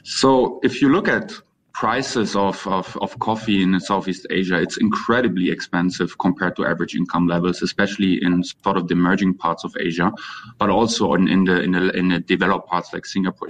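Man, German accent, low voice, interview guest, repeats words while formulating, faint background noise. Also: steady tone, brisk, a bit bright. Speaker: interview guest, company co-founder. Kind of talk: conversational interview answers.

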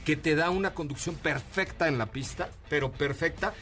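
A man's voice: fairly high pitch, normal speed, a bit bright, faint music behind. A man with a Mexican Spanish accent hosting a radio car show, talking enthusiastically with jokes, slang and lots of fillers. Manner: enthusiastic, jokey, slang-heavy, many fillers. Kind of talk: casual radio chat.

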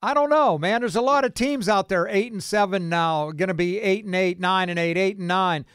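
A man's voice: high voice, moderate pace, fairly bright tone, smooth, dry recording, studio quality. An American man hosting a casual sports call-in radio show. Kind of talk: casual sports talk with callers.

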